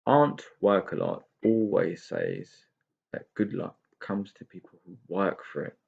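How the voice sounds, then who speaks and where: Tigger, an emphatic creaky pixie acted by a man. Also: low-pitched, huge pitch swings, a little slow, narrow, tinny audio, exaggerated voice. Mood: gloomy, wishful. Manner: exclamatory, emphatic. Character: indignant, easily delighted young male pixie called Tigger.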